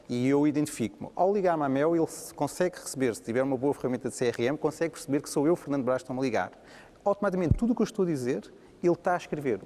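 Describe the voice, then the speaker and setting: A man's voice: fairly high pitch, a little mellow, long reverb tail. A man with a European Portuguese accent speaking on a business panel, emphatic and enthusiastic. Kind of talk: panel discussion.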